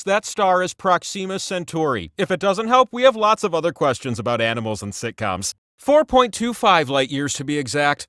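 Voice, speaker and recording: Animated, high-voiced talking game-show wheel. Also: male voice, dry recording, studio quality. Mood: wry, joking. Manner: playful, theatrical, wry asides. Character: talking game-show wheel, cheeky, self-amused, eccentric host. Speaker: trivia game host. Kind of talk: scripted comedic quiz narration.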